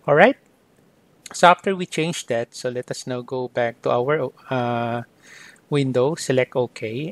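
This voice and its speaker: A man teaching software step by step in an explanatory screen-recorded lesson, often starting sentences with "so". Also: mid-range voice, no room sound. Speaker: software tutorial instructor.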